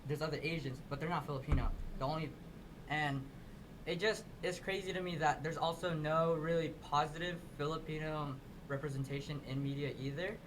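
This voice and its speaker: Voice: mid-range voice, steady tone, brisk. A teenage podcast guest talking casually and animatedly, using slang like "dude" and "literally.". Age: teens. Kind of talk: casual discussion. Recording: noisy background.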